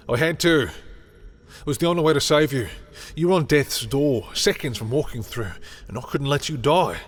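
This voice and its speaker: A man playing a proud, defensive villain who is secretly lovestruck, speaking an intense, halting confession in a dramatic audio roleplay. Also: lowish voice, a bit bright, breathy edge, heavily acted. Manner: intense, halting confession, swearing, sometimes dropping to whispers. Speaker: voice actor in a romantic audio roleplay. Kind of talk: dramatic audio roleplay monologue addressed to the listener. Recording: music behind the voice.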